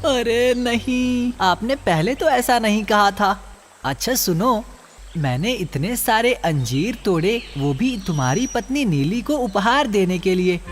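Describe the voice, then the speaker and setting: A clever cartoon monkey, acted by a man, playful and exclamatory. The voice swings widely in pitch and draws words out slowly, with music clearly playing behind. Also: expressive. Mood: alarmed, crafty. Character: trusting, clever, sweet-toothed male monkey. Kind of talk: children's cartoon story acting.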